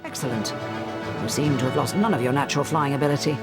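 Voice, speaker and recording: An actor playing a strict but fair flying instructor who gives formal, commanding instructions. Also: male voice, medium pitch, lively intonation, fast, natural voice. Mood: brisk, stern. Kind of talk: video game voice acting. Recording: loud game music behind. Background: loud game music.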